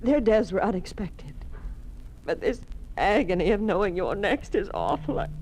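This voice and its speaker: An actress playing a devoted, anguished party secretary in a 1950s radio melodrama, with an emotional, dramatic delivery. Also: female voice, medium pitch, expressive, moderate pace, darkish tone, natural voice. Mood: frightened, anguished. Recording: faintly noisy old radio recording.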